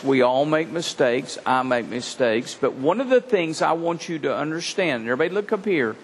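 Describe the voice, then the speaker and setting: A man's voice: a little high, slight background noise. A man giving a children's sermon, earnest and addressing the kids directly. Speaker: children's pastor. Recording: hall reverb with faint ambience.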